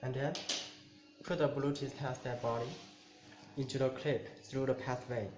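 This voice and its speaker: A man narrating a step-by-step tutorial in short, simple, halting instructions. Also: mid-range voice, fairly even intonation, over music. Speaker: tutorial presenter. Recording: echoey room, clear noise, clicks.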